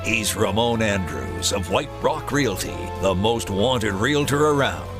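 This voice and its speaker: A man's voice: fairly low pitch, big pitch swings, measured pace. A man doing a scripted, dramatic promotional voice-over for an advertisement. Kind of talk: dramatic scripted radio-style advert read. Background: music bed.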